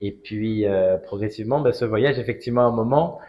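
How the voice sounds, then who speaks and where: Low-voiced man, hesitant, measured interviewee. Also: darkish tone. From Strasbourg, France. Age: 40s. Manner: hesitant, measured phrasing with frequent pauses. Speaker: interview guest, cigar brand ambassador. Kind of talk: conversational interview, personal story.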